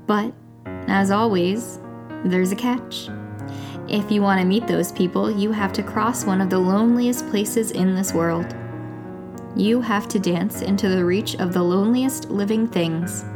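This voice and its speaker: A woman's voice: mid-range voice, unhurried, over music, lightly acted. A woman playing a wry, reflective watchtower broadcaster in an audio drama, narrating in an intimate scripted style. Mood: wry, musing. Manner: reflective, dryly humorous.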